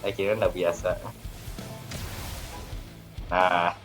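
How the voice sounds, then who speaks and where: A man's voice: low voice, level tone, takes it slowly. A man giving casual, slangy gameplay commentary, reacting in short exclamatory bursts. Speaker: gaming streamer. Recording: voice over game music.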